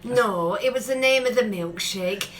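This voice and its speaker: A woman playing a practical, flattering café owner in a radio comedy. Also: medium pitch, a little slow, a little hiss or hum, lightly acted. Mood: awkwardly polite. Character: practical, flattering female milkshake bar owner.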